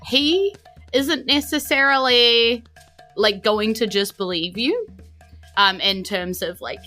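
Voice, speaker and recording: A woman's voice: a little high, slow, bright, forceful tone, faint music behind. New Zealand woman running a tabletop game. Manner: explanatory, loose and informal. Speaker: game master. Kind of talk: narrating a tabletop game, conversational.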